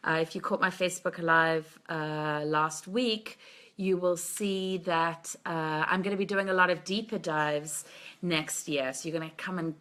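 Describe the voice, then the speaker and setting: A woman's voice: fairly low pitch, fairly even intonation, measured pace, fairly bright tone. A woman tantra coach chatting as a guest, upbeat and enthusiastic, with a noticeable accent. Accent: non-local accent, commented on by viewers. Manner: upbeat, enthusiastic, laughs often. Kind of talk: casual chat. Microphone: webcam or laptop mic on a live stream.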